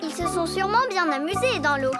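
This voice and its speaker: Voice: very high-pitched, lively intonation, brisk. An actor voicing an impulsive, overconfident little girl in a children's cartoon, with music playing behind. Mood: absorbed. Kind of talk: animated children's cartoon dub.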